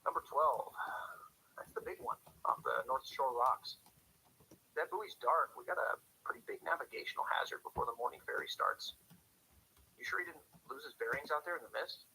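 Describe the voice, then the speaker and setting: An actor playing a dutiful small-town night-shift police officer in a radio drama, dry, measured and understated over a radio. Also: male voice, on the high side, expressive, creaky, sharp tone, slightly rough, heavily acted, poor audio. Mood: wry, businesslike. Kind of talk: radio drama, scripted log narration and calls.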